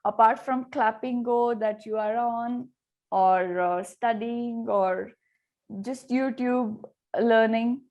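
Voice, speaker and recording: A woman with a fairly high voice leads an English practice session over a video call. She asks questions calmly and keeps encouraging her student. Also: takes it slowly. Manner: encouraging, repeats "okay, very well". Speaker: English tutor.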